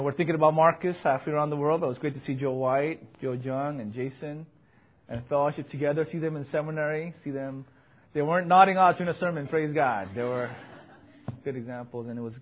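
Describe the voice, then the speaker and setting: Fervent, husky-voiced young pastor preaching. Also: male voice, medium pitch, phone-quality sound. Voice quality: loud voice. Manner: earnest, emphatic, rising to fervent peaks. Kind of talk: sermon with personal report.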